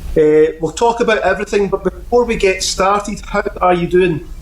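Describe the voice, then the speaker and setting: A man's voice: high-pitched, normal speed. A man hosting a podcast, asking polite, friendly questions in plain words. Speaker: podcast host. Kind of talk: interview questions. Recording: roomy echo.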